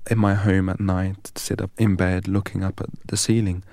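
A man from England, a guest in an interview, telling his life story in a measured, precise and earnest way. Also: low voice, little pitch movement, a little mellow, no echo. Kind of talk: reflective personal storytelling.

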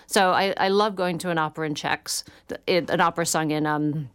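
A woman with a smooth, resonant voice, pitched a little low, speaks as a guest on a radio call-in show. She talks in an articulate, thoughtful way and sounds enthusiastic. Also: steady tone, fairly quick, a bit bright. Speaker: guest author.

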